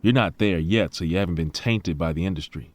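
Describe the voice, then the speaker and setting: A man's voice: deep voice, fairly quick, no echo, natural voice. An actor playing a reclusive, philosophical billionaire inventor in a sci-fi audio drama, a man speaking articulately and with self-assurance. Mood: self-assured, musing.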